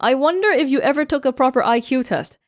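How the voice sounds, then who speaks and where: A woman's voice: sits high, lively intonation, quick pace, dry recording. A young woman from Canada answering viewer questions in a casual vlog, chatty and self-mocking, speaking close to the microphone. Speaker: YouTuber. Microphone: close studio mic.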